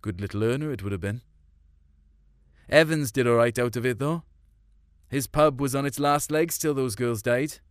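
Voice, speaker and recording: A man's voice: mid-range voice, normal speed, pauses often, no echo, studio quality. A man reading a first-person story in a colloquial, melancholic way. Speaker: short story narrator.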